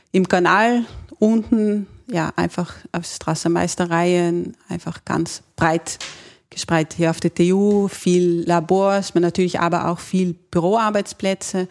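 Dutch-accented woman, native Dutch speaker, explaining her field in a relaxed interview, pausing for words. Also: fairly low pitch. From Netherlands. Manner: thoughtful, searching for words, occasional grammar slips. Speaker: ergonomist, guest. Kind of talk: conversational interview.

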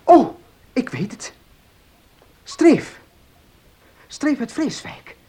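An actor playing a courteous, eager, helpful gentleman in a Dutch radio play, formal and given to stammering repeats. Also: very high voice, very animated, drawn-out words, breathy edge, full character voice. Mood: excited, helpful.

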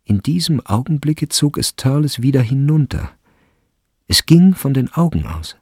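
A man's voice: mid-range voice, very animated, normal speed, warm tone, breathy edge, dry recording, clean studio sound. A man narrating a literary radio drama as a scripted storyteller.

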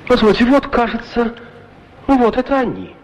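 An actor playing a cheerful, polite young opera singer, effusive and courteous, in a radio play. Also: male voice, extremely high pitch, huge pitch swings, moderate pace, a little hiss or hum, full character voice, poor audio. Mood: courteous, pleased. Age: young adult. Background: faint music.

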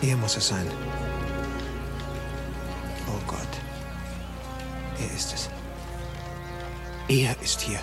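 A man's voice: mid-range voice, drawn-out words, pauses often. A man playing a haunted, anxious young husband in a radio drama, speaking with agitated intensity. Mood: shocked. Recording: loud music behind the voice.

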